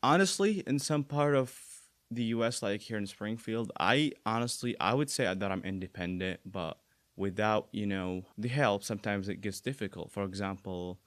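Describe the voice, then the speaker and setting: A young man with an Arabic accent talks easily in a very low voice, the sound dry and close. He circles back and restarts often, filling pauses with small words as he goes. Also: no room sound. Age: young adult. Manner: relaxed, many fillers and restarts. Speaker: guest. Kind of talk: casual interview talk.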